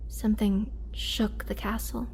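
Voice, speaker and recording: Actress as imprisoned female vampire, roleplay drama: youthful yet centuries old, mid-pitched, sorrowful, soft-spoken storyteller with wistful pauses. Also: level tone, vocal fry, a little hiss or hum, rough recording. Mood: somber.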